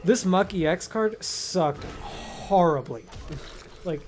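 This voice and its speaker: A man's voice: high-pitched, lively intonation, slow, a little hiss or hum. A young American man telling stories casually to a friend, rambling and slangy, often repeating himself. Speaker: video host. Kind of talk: casual storytelling chat with a friend.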